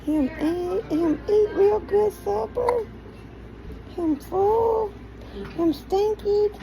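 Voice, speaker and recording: A woman's voice: very high-pitched, very slow, gravelly, mellow, slight background noise, poor audio. A woman cooing to a baby in doting, repetitive, sing-song soothing baby talk.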